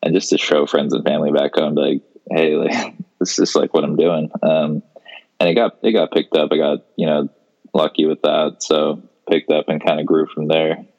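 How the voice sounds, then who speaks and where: A man's voice: very deep voice, level tone, moderate pace, creaky, darkish tone, lo-fi sound. A man in his twenties from Seattle, a guest on a podcast, talking in a laid-back way with many fillers and restarts. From Seattle, Washington, US. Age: 20s. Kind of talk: casual interview chat.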